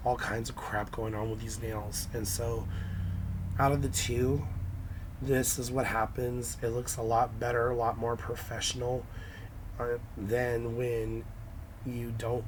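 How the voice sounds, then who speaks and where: A hoarse young man with a raspy, scratchy voice, talking through a casual nail-art how-to with lots of ums and restarts. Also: mid-range voice. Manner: hesitant, many ums and restarts. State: hoarse from illness.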